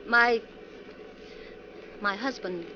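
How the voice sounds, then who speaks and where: Anxious high-voiced wife. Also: female voice, drawn-out words. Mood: distracted, polite. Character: anxious, polite wife travelling alone by bus. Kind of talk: old-time radio drama acting. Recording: dull, faintly noisy old radio recording.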